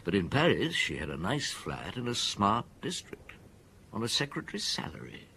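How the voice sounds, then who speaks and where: Shrewd middle-aged paris detective, low voice, lots of inflection, measured and wry, radio drama. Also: male voice, wildly swooping pitch, vocal fry, a little hiss or hum, exaggerated voice. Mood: reflective. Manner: measured, wry, gently probing.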